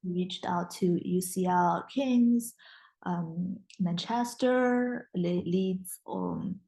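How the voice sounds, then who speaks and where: A woman's voice: fairly low pitch, extremely slow, creaky, dark tone. A young woman whose first language is Mandarin, a guest in a casual interview, speaking English with frequent restarts and fillers. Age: young adult. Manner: hesitant, many restarts and fillers.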